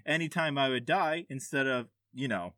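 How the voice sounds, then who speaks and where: Male podcast host, mid-pitched, dry sound, jokey and informal with some swearing. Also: moderate pace, bright, forceful tone, no room sound. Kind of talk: casual chat.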